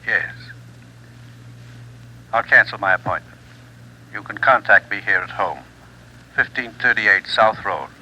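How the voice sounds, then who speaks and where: A guarded doctor answers hesitantly over the telephone. His voice is lowish and very sharp and bright. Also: a little slow, pauses often, heavily acted, rough recording. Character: uneasy male doctor on the phone.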